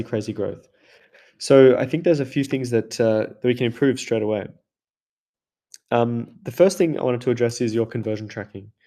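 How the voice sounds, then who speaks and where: A man's voice: mid-range voice, mellow. A man hosting a video call, walking a guest through an ad account in a loose, chatty way full of "like" and "kind of". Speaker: host, ads consultant. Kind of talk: casual screen-share teardown.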